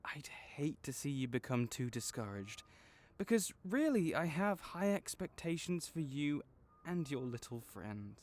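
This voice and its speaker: A man playing a kind, patient veterinarian for magical creatures in a comforting audio roleplay, explaining things gently and encouragingly. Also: on the high side, big pitch swings, a little slow. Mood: warm encouragement. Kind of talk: comforting audio roleplay, one-sided conversation.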